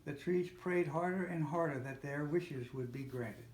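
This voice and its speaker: An older man reading a children's storybook aloud, a little haltingly, with small slips and self-corrections. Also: fairly high pitch. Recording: roomy, echoey home recording with faint noise.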